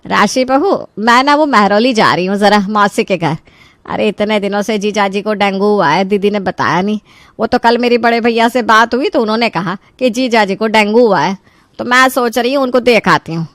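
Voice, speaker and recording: A woman voicing a caring but fussy middle-aged mother-in-law in an animated story, chatty, fretful and motherly. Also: medium pitch, normal speed, dry recording, close to an everyday voice. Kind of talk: Hindi animated story voice acting.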